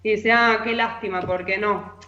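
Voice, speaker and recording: A woman's voice: mid-range voice, fairly even intonation, moderate pace, pushed and bright. A woman with an Argentine accent giving a chatty, informal radio column full of anecdotes as a literary columnist. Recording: echoey, slightly dull remote audio.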